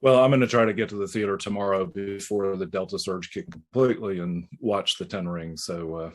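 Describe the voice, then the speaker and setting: A man's voice: deep voice, a little fast. A man hosting a casual video call, chatty as he introduces and questions guests. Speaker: host.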